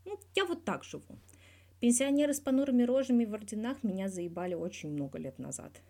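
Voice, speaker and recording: A woman's voice: a little high, expressive, a little fast, dark tone, voice pushed up. A woman voicing a candid, wry young male star athlete giving a guarded but blunt interview in a story. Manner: guarded yet blunt, dryly teasing, swears freely.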